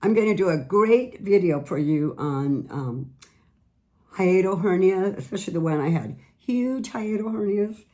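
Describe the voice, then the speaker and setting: A middle-aged woman, a little raspy today, chatting earnestly about skin care products she sells. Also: medium pitch, lively intonation, a little slow, dark tone. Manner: chatty, earnest, repeats words. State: slightly raspy voice. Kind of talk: casual product tips vlog.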